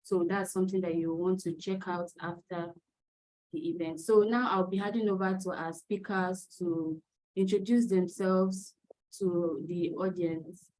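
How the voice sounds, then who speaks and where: A woman's voice: lowish voice, steady tone, a little slow, darkish tone, rough recording. A woman hosting an online panel event, speaking with frequent hesitations and fillers. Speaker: webinar host.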